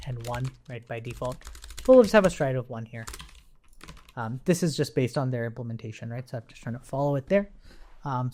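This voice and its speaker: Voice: medium pitch, a little mellow, a little hiss or hum. An instructor giving an informal live coding tutorial, thinking aloud while typing and often checking in with the listener, with keyboard sounds behind. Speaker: programming instructor. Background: computer keyboard typing.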